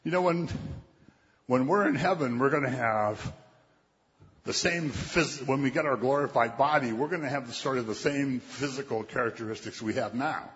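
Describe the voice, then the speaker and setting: A man's voice: medium pitch, normal speed, a bit bright. Man preaching in an echoing hall. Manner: conversational preaching, quotes scripture, acts out voices. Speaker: pastor. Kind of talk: sermon. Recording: dull, muffled sound with long hall reverb.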